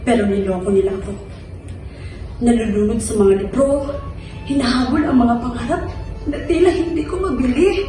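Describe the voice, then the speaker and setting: A high-pitched, anguished young female student played by a woman pours out a plaintive stage monologue. The sound is very echoey and far from the mic, with music behind it. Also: moderate pace, pauses often, dark tone, close to an everyday voice. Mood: troubled, questioning. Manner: emotional, plaintive delivery.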